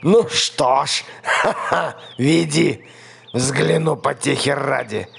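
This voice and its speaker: A man voicing a huge hungry forest monster, slow and drawn-out. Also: medium pitch, vocal fry, fairly bright tone, a little hiss or hum, pitched above the performer's own voice, heavily acted. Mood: mocking.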